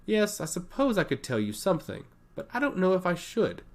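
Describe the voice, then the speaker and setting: A man's voice: high voice, huge pitch swings, brisk, pitched above the performer's own voice, heavily acted. A man voicing an amused, teasing god of intellect in a theatrical way for a fantasy story. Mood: coy. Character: smiling, playfully coy male god of intellect.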